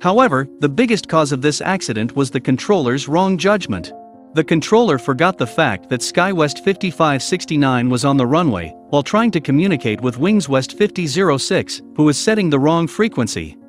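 Man, scripted case-study narrator, somewhat high-pitched, faint music underneath. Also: lively intonation, a little fast.

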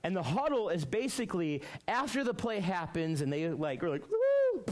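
Youth pastor, man, 30s, loud, lively storyteller, slightly high pitch, headset mic in a mid-sized room. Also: moderate pace. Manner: loud, energetic storytelling, lots of fillers. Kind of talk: youth group talk. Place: church youth room, medium indoor.

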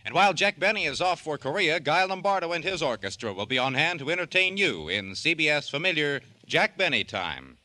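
A man's voice: fairly high pitch, big pitch swings, a little fast, few pauses, pushed and bright, no echo. A man working as a network announcer in the formal style of mid-century American radio. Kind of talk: 1940s-50s radio announcing.

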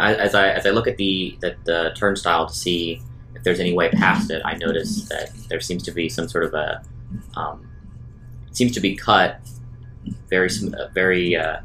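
A man improvising as a player in a tabletop role-playing game, talking casually in a measured, halting way. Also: low voice, sharp tone, low-quality recording.

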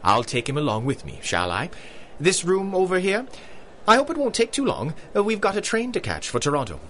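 An actor playing a pompous, jovial British colonel and big-game hunter in a radio drama, with hearty, old-fashioned upper-class talk. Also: male voice, sits high, wildly swooping pitch, brisk, a bit bright, slight background noise, heavily acted. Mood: breezy.

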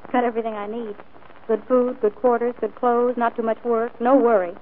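A woman's voice: on the high side, little pitch movement, moderate pace, close to an everyday voice. A young woman playing an earnest, disillusioned housemaid in a 1940s radio drama, plain-spoken. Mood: resigned, firm. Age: young adult. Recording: tinny, noisy 1940s radio broadcast.

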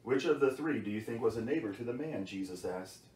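A middle-aged man reading a playful bedtime Bible story in an expressive, theatrical way, as if to a child. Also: mid-range voice, a little fast. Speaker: storyteller for a church's children's series. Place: small wood-panelled bedroom indoors. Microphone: camera across the room. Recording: roomy sound, distant microphone.

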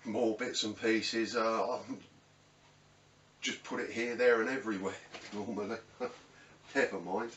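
Low-voiced British man, roomy distant sound. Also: steady tone, unhurried, fairly bright tone. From United Kingdom. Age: middle-aged. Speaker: host of a woodworking channel. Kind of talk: casual workshop tour, unscripted. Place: small cluttered indoor home workshop. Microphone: camera mic a few metres away. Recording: roomy, distant mic, faint background noise.

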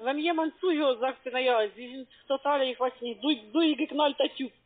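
High-pitched woman on the phone. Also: moderate pace. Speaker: telephone sales caller. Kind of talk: phone sales talk. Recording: muffled narrowband phone line.

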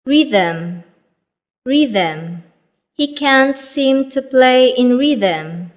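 A woman reading vocabulary for a children's English lesson, saying each word twice and then an example sentence, slowly and evenly. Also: high voice, expressive. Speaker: vocabulary teacher. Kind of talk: slow scripted word-drill reading.

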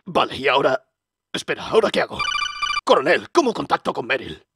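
Man voicing a gravelly, foul-mouthed action hero. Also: medium pitch, sing-song, brisk. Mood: cocky, casual. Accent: Spain. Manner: crude and irritable, jumps to outbursts. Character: foul-mouthed, irritable, cocky male action-hero soldier in a parody cartoon. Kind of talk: comic cartoon parody dub. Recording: noisy amateur dub recording.

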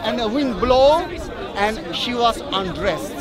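Insistent high-voiced man debating outdoors. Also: takes it slowly. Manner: insistent, repeats words, stumbles when pressed. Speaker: street debater. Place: outdoor park with a crowd. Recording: noisy crowd outdoors. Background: crowd chatter.

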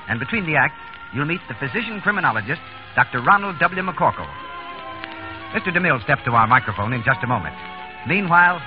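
A man announcing on 1930s radio in a formal, polished, emphatic salesman's style. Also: medium pitch. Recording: muffled 1930s radio broadcast with music.

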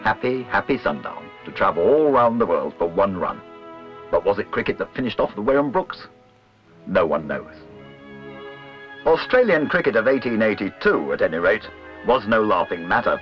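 A man reading scripted documentary narration in a measured, literary, wry manner. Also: lowish voice, big pitch swings. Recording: dull old film soundtrack, music behind.

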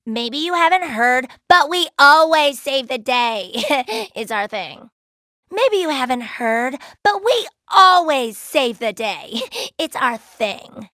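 A woman's voice: sits high, lively intonation, takes it slowly, pushed and bright, dry recording, studio quality, heavily acted. A woman voicing a brash, playful, laid-back young female gem warrior in a cartoon video game, with a cheeky, slangy, exclamatory delivery. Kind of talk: cartoon video game voice acting, short barks.

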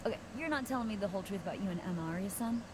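A woman's voice: mid-range voice, expressive, racing, lightly acted. An actress playing a blunt, teasing young female camp counselor in a video game. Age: young adult. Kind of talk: cinematic video game dialogue. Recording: game audio with ambient noise.

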